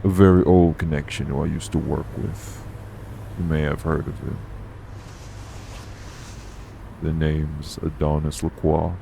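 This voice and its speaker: A man acting in an urban fantasy audio roleplay as a gruff, guarded, world-weary supernatural man with a shady past. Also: rumbling low, darkish tone, exaggerated voice. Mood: serious, focused. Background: vehicle on the road.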